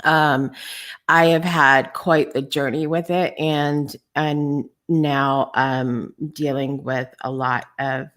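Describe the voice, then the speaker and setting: A woman hosting a live podcast, talking in a casual, candid way with lots of ums and restarts, her voice hoarse and lower than usual. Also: fairly even intonation, slow, fairly bright tone. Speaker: podcast host. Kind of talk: casual live-show chat.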